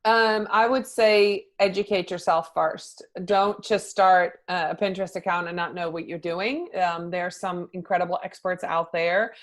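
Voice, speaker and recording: A woman's voice: fairly low pitch, fairly even intonation, unhurried, hardly any pauses. A middle-aged woman from the Netherlands, a social media consultant, answering interview questions in a chatty way with many fillers.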